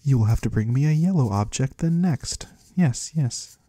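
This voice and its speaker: A man playing a mysterious, courteous disembodied trader of the void in a soft-spoken ASMR roleplay, husky and breathy, slow and gently curious. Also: medium pitch, animated, dry recording, lightly acted. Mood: curious, pleased. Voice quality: husky, breathy, sometimes whispering. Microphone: close, dry microphone.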